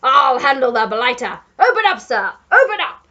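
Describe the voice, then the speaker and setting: Brief: woman as blustering old aristocratic duke, shouting, swooping delivery. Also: high voice, sing-song, moderate pace, highs cut off, full character voice. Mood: bellowing. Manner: bellowing, pompous.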